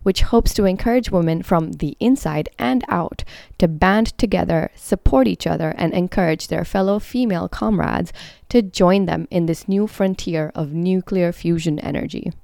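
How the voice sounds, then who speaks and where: A woman's voice: lowish voice, moderate pace, gravelly, hardly any pauses, warm tone, no room sound, studio quality. A woman hosting a podcast, reading scripted narration. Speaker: podcast host and interviewer.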